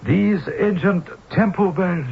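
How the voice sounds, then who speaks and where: A ceremonious gentleman from a radio mystery, with a high, creaky voice. He speaks formally and haltingly, full of polite menace. The sound is thin and phone-like. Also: heavily acted. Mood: ominous. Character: courteous, ominous Japanese gentleman warning of cursed bells. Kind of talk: 1970s radio mystery acting. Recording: muffled phone-like old radio with hiss.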